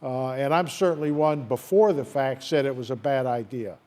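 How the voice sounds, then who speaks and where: A man's voice: mid-range voice, moderate pace, darkish tone. A man speaking as a debate guest, reflective and hesitant. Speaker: panel guest. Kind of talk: live debate contribution.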